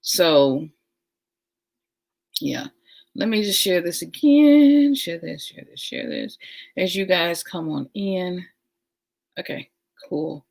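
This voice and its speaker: A woman hosts a casual livestream at an easy, unhurried pace. Her voice sits on the low side, and she talks warmly and with enthusiasm, often repeating her phrases. She adds chatty asides as she goes. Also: very animated, vocal fry. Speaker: livestream host.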